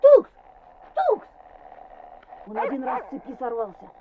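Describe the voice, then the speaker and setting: An actress playing an eager, helpful village schoolboy in a radio play, earnest and enthusiastic. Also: female voice, sits high, wildly swooping pitch, measured pace, echoey room, full character voice. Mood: friendly, eager. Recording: noisy, muffled old radio play.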